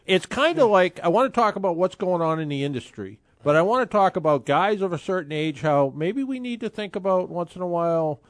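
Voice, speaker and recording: A man in his sixties hosts a car show in a casual, rambling way, often restarting his sentences. His voice sits a little high for a man. The sound is dry and close, with no room around it. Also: expressive, brisk, hardly any pauses, dry recording. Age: 60s. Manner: chatty, rambling with frequent restarts. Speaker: radio car show host. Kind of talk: casual radio talk.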